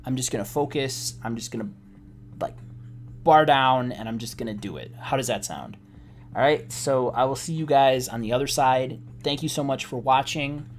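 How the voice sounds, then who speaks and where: A slightly hoarse man giving casual, rambling gameplay commentary as a let's play host, sounding wry and exasperated. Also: medium pitch, moderate pace, a little hiss or hum. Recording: game music behind the voice. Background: video game music.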